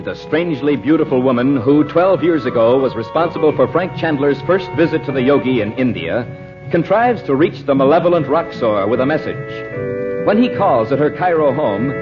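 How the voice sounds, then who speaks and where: Dramatic old-time male radio announcer, animated. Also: on the high side. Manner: formal, dramatic delivery. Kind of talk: old radio serial narration and advertising. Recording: dull old radio recording with music. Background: music.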